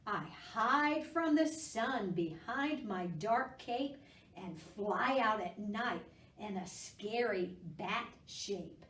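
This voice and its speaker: A woman voicing playful spooky Halloween creatures from a picture book, theatrical and drawn-out, with loud exclamations. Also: mid-range voice, expressive. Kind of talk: children's picture-book character reading. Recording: roomy echo, distant microphone.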